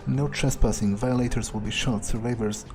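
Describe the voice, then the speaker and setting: A man's voice: medium pitch, steady tone, moderate pace, music in the background, natural voice. A man roleplaying a callous, amused vampire in a video game, musing in a drawling, self-satisfied way. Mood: musing, disdainful. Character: smug, amused, callous male vampire schemer. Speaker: gamer playing in character.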